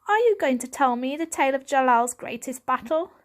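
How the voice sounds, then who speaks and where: A timid young boy kitten in a storybook, performed by a woman. The voice sits high and sounds earnest and pleading, eager to learn from elders. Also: animated, fairly quick. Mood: curious, eager.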